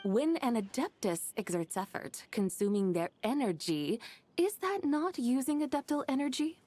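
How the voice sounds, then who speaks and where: A woman playing a serene, playful immortal in a video game, speaking formally with gentle teasing. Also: a little high, big pitch swings, moderate pace, slight background noise. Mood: wry. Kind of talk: video game character acting.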